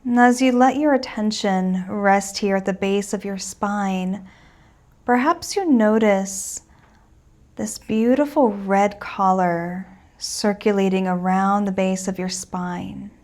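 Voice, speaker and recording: Slow-paced woman guiding a gentle meditation. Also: mid-range voice. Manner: soothing, unhurried, reassuring. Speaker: meditation and yoga teacher.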